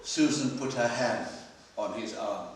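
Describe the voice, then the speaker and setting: A man reading literary fiction aloud at a live event, with a measured, dramatic delivery and pauses. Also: mid-range voice, slight background noise. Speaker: story reader at a literary festival. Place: library hall at a live festival. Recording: echoey hall, distant microphone.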